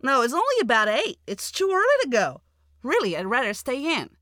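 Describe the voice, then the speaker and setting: Reluctant, griping wife played by an actress, high and extremely animated, scripted dialogue. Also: female voice, a little fast, dry recording, studio quality, heavily acted. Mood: complaining. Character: complaining, reluctant wife who feels the cold. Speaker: actor in listening test dialogue. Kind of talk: scripted language-test dialogue.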